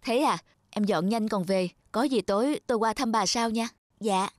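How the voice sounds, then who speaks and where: A woman voicing a polite, neighbourly market woman in an animated moral tale, courteous and conversational. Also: mid-range voice, moderate pace, no echo, clean studio sound, natural voice. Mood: friendly, concerned. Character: market women and a young orange seller, polite, neighbourly. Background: faint music.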